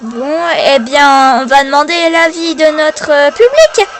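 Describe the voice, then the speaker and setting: A female performer playing presenter and coaches in an improvised talent-show parody, speaking in a hesitant, halting way. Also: high voice. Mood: blunt, dismissive.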